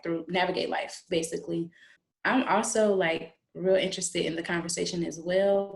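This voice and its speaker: A woman, a clinician, introducing herself in a relaxed, unhurried way. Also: fairly low pitch, vocal fry. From Illinois, United States. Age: 20s. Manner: relaxed, filler-heavy, unhurried. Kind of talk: casual video-call introduction.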